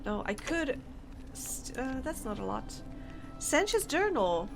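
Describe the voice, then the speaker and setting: Lively-voiced woman streaming a game. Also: high-pitched, takes it slowly, vocal fry, over music. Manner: chatty, playful, thinking aloud. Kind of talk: gameplay commentary.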